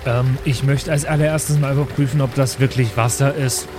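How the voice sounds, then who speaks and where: A man takes part as a player in a tabletop role-play show. He talks casually, improvising as he goes, in a medium-pitched voice. Music is clearly audible behind him. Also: fairly even intonation, brisk. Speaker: player in a tabletop game podcast.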